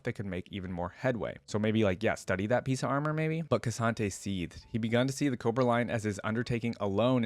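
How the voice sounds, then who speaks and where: A man's voice: on the low side, no echo. A man hosting a reaction video, talking casually and reading aloud into a close microphone.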